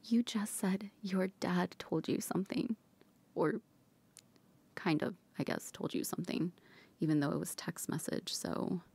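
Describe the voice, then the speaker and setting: A woman whispering softly in an ASMR comfort roleplay, gently reassuring a partner. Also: lowish voice, measured pace, creaky, breathy edge.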